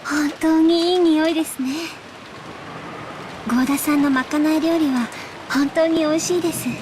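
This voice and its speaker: Casting: actress as young maid, gentle and courteous, high quick voice, game dialogue over rain. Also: female voice, steady tone, natural voice. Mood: appreciative. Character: gentle, polite young servant girl. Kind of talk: Japanese visual novel voice acting. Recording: rain noise behind dialogue.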